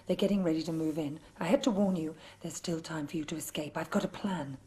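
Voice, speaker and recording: A woman playing a composed, secretive agency handler in a video game cutscene, speaking in a measured, earnest way. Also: sits low, warm tone, slight background noise, close to an everyday voice. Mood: urgent, hushed.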